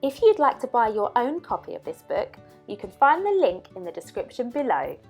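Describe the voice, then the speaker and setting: A woman reading a children's story aloud as narrator, with expressive, dramatic emphasis. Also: on the high side, normal speed, gravelly, slightly rough, faint music behind.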